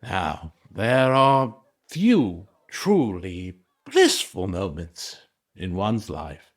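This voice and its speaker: Hearty merchant gentleman, very slow. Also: deep voice, big pitch swings, full character voice. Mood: ceremonious. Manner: hearty, ceremonious, chuckling. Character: jovial, genial wealthy merchant host, proud Monsieur Morel. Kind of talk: audio drama acting.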